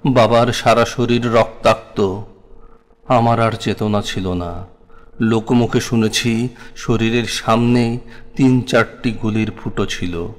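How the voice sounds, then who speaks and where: A man with a Bangladeshi accent recites a memoir very slowly and solemnly. His voice is fairly low and literary in its phrasing, trailing long hall-like reverb. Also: fairly even intonation, a little hiss or hum. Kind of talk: scripted dramatic memoir recitation.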